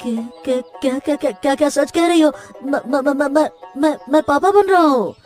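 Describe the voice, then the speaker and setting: A woman's voice: sits high, normal speed, darkish tone, faint music behind, close to an everyday voice. A woman voicing a flustered, devoted young family man in a Hindi cartoon drama, repeating and stammering over words. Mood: stunned joy. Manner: breathless repetition, stammering.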